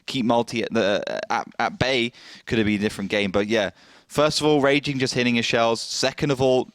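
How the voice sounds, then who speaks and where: A man giving calm, analytical esports match commentary, often pausing on fillers. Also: medium pitch, clear, resonant tone, no echo, clean studio sound. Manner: measured, analytical, frequent fillers.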